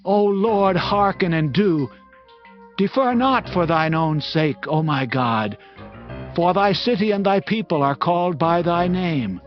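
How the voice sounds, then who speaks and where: A man's voice: high-pitched, animated, a little slow. An actor playing a devout, humble prophet in a dramatized scripture reading, earnest and reverent as he declaims. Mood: pleading;. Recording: slightly dull sound, music underneath.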